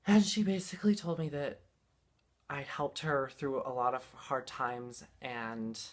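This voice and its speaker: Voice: medium pitch, fairly even intonation, a little slow. A young adult speaker telling personal stories in a casual, heartfelt way, rambling and repeating phrases for emphasis.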